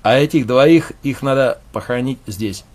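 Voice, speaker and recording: A man's voice: medium pitch, normal speed, close to an everyday voice. A man voicing a blunt, commanding war veteran who speaks curtly and with scorn. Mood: stern. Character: tough, blunt male leader, war veteran.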